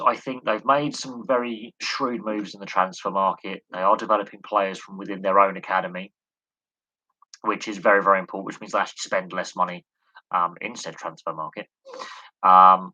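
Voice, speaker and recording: Bright-toned man, hoarse and rambling. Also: low-pitched, steady tone, moderate pace, low-quality recording. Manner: rambling, full of fillers and repeated words. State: losing his voice, coughing. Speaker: sports commentator answering questions. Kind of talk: live-stream sports discussion.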